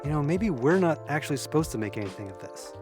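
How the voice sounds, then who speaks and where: A man's voice: on the high side, big pitch swings, fast. A man playing an easygoing, sceptical young housemate, casual and lightly wry, in naturalistic audio drama. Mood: curious. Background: music.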